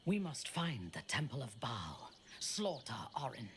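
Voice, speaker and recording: A woman playing a fierce dark warrior in a video game, breathy at times, intense and adoring. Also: rumbling low, big pitch swings, a little slow, slight background noise, full character voice. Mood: enraptured, menacing. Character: fierce, reverent female dark warrior lover.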